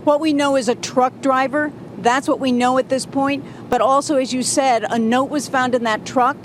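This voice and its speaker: A woman reports live for TV news in a higher-pitched voice. She is outdoors, with clear traffic rumble and street noise behind her. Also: steady tone, moderate pace.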